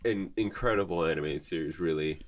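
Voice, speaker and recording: Man with an extremely deep voice. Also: brisk. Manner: laid-back, says his voice is very low. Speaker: podcast co-host. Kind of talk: casual chat.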